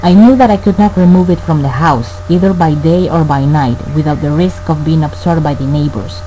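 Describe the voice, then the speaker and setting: A man playing a brooding murderer who narrates his crime in a radio play, formal and deliberate. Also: a little high, dark tone, close to an everyday voice, rough recording. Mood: brooding, calculating. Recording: faint hum and noise.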